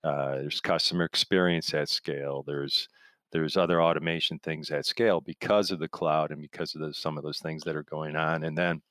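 A man with a notably flat, low-key melody explains his field as an interview guest. His delivery is conversational and wandering, and the sound is dry and close. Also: normal speed, dry recording. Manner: rambling, explains through analogies and imagined quotes. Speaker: interview guest, software industry expert.

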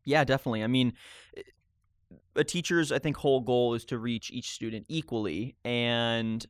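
A man's voice: mid-range voice, steady tone, moderate pace, clear, resonant tone, no echo, clean studio sound. A man hosting a podcast interview, chatting in a friendly, casual way with fillers. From raised speaking Spanish at home, mother from Colombia. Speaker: podcast host.

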